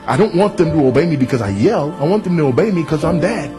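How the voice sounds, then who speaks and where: A man preaching a sermon in a firm, measured, didactic way. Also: fairly high pitch, lively intonation, music in the background.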